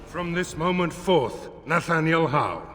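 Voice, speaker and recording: A man's voice: fairly high pitch, expressive, measured pace, fairly bright tone. A man playing a solemn warden leading a ritual in a video game, grave and ceremonial. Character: solemn male Grey Warden officiant. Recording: echoing hall with faint music.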